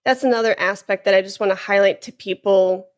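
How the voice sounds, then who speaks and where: A woman hosting a podcast interview, chatting casually with quick enthusiastic reactions. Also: medium pitch. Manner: enthusiastic, warm affirmations. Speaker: podcast host.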